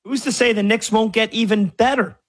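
High-voiced man hosting sports radio. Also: fairly even intonation, brisk. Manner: argumentative, fires off rhetorical questions.

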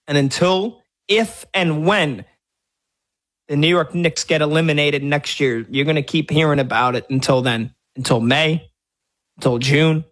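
A male sports radio host speaks in a slightly high, lively voice. He celebrates loudly and throws in shouted catchphrases, with the full clear sound of a studio mic. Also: unhurried.

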